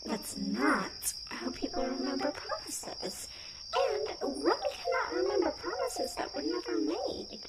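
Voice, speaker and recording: Woman as a high-voiced teasing tree nymph. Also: sing-song, measured pace, very noisy, heavily acted. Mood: haughty, teasing. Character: female tree nymph, haughty, playful, teasing. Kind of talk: fantasy roleplay audio drama, ASMR. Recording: distant, echoey, loud night insect ambience.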